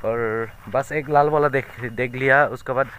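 A young man from Meghalaya with a Northeast Indian accent, explaining things casually in a halting, repetitive way for an outdoor vlog. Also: medium pitch, fairly even intonation, fairly bright tone, a little hiss or hum. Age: young adult. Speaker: vlogger. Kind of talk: casual walk-and-talk vlog. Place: outdoors in open rice fields.

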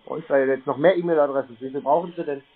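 A man taking a prank phone call, answering in a deadpan, laconic and flippant way. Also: fairly high pitch, very fast. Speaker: prank call recipient baiting phone scammers. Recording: dry but narrow phone-like sound.

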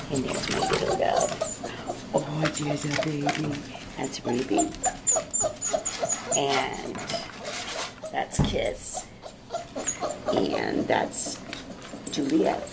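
A woman's voice: sits low, big pitch swings, very slow, vocal fry, pauses often. A woman filming her puppies in a small bathroom at home, talking to them in a cooing, baby-talk way on a handheld camera. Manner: coos and baby-talks to the puppies. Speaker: dog breeder. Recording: loud puppy noise behind.

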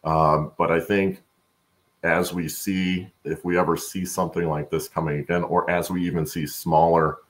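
A middle-aged man with a gravelly voice, explaining things conversationally as an interview guest on a video call, thinking aloud as he goes. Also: deep voice, flat delivery, normal speed. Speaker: guest, supply chain expert. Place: home room, on a video call.

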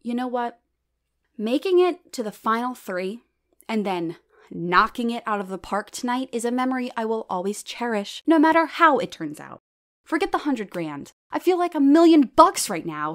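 A woman playing a perky, sincere, excitable stand-up comic in an audio drama, chatty and gushing. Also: a little high, moderate pace. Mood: upbeat, gushing.

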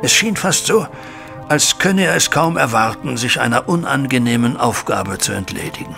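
Audio drama banker: anxious, formal, wordy, with a crisp forward tone and some fry. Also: male voice, medium pitch, lively intonation, normal speed, sharp tone, faint music behind. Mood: formal, troubled. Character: anxious, respectable male banker recounting his troubles. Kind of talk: audio drama acting, narrating a flashback.

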